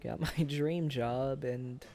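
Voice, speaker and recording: A man playing a wistful, self-deprecating former high school sweetheart in an intimate, halting boyfriend ASMR roleplay. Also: fairly even intonation, mellow. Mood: regretful, tender. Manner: hesitant, halting, chuckles through confessions.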